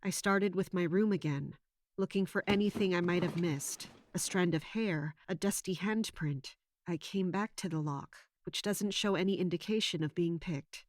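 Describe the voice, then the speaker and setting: An actress playing a determined, polite young woman investigator, narrating and speaking in a measured, earnest way in an audio drama. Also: on the low side, no echo, close to an everyday voice. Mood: pensive, suspicious.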